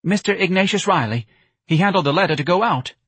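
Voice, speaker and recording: A man voicing a timid, nervous male office manager with a shrill, piping voice. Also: fast talker, a bit bright, breathy edge, dry recording. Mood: flustered.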